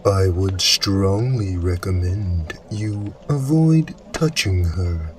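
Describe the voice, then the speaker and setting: A man playing a calm, protective uncle in an audio drama, giving grave and measured counsel. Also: low-pitched, distant mic. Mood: grave warning. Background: music.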